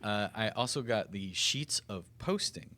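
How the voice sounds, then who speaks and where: Low-pitched man at a game table. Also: animated, measured pace, sharp tone. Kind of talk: casual chat, reading out game items.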